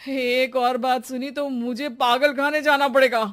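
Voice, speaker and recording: A woman's voice: sits high, flat delivery, measured pace, a bit bright. A woman voicing an exasperated husband in a cartoon, fed up and dramatically pleading. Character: exasperated husband, employer of a maddening housemaid. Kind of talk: Hindi cartoon voice acting.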